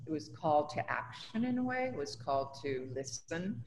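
A woman's voice: sits low, big pitch swings, normal speed, darkish tone. A woman in her seventies from Tunisia, an artist talking conversationally about her own life and work in an interview. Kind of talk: conversational personal recollection. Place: indoors in an art studio. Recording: reverberant room, faint background noise.

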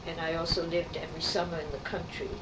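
A woman in her eighties, raised speaking French, telling her life story in an unhurried, reminiscing way for an oral history interview. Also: sits low, level tone. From New York City. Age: 80s. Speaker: artist interviewee. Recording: roomy, distant, noisy tape.

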